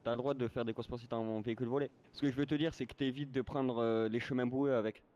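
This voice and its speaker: A man's voice: medium pitch, steady tone, quick pace, a little mellow. A man moderating an online roleplay game, explaining casually and insistently, often repeating words. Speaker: game server staff moderator. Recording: dull lo-fi voice chat.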